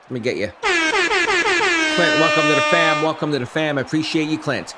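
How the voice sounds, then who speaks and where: Hoarse, excitable male game-stream commentator. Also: on the high side, moderate pace, very noisy. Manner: excitable, shouts on big plays, chats with viewers between plays. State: hoarse voice, fighting the flu. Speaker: live stream host. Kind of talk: live play-by-play commentary of a video game simulation.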